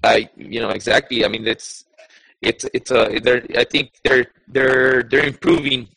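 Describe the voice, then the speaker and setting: Gravelly man talking football, halting. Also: mid-range voice, animated, a bit bright, low-quality recording. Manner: halting, many restarts and fillers. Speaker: podcast co-host. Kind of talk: casual sports chat.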